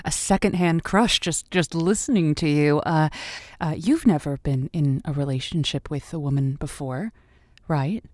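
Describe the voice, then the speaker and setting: A woman's voice: low-pitched, normal speed, darkish tone, no room sound, studio quality. A woman playing a curious, encouraging radio call-in host in an audio drama, chatting naturally with a slightly hesitant, sympathetic manner. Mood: warmly intrigued.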